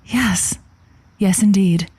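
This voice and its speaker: A woman playing a game but halting advice-show host in improvised radio comedy, repeating herself and using showily learned words. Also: mid-range voice, warm tone, breathy edge, no echo. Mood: game, amused.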